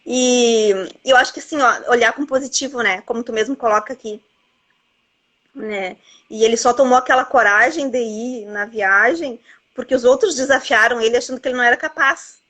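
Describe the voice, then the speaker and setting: A woman's voice: on the high side, a little fast, a bit bright. A woman, chatting casually about a book with its author and filling her speech with tag questions and little asides. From southern Brazil border region. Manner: rambling, lots of "né" and "assim, ó". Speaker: bookseller interviewing an author.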